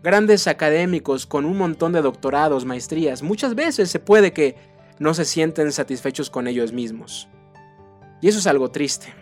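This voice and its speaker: Earnest Mexican man, higher voice, soft guitar. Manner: earnest, addresses listener directly with rhetorical questions. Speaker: podcast host. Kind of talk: motivational podcast monologue.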